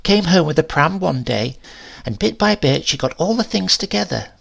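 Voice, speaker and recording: A chatty old landlady near seventy, acted by a man in a horror story reading. The voice is heavily creaky and husky, swinging up and down as she gossips and confides, a little defensive about herself. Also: on the high side, lively intonation, moderate pace, a bit bright, voice pushed up, full character voice. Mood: gossipy, insistent. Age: older. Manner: gossipy, colloquial, rambling, confiding.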